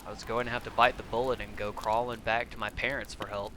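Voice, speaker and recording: A man's voice: lowish voice, little pitch movement, fast, lightly acted. A man playing a regretful young survivor, a former pizza delivery boy, in a subdued, confessional comic dub performance. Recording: noisy ambient background.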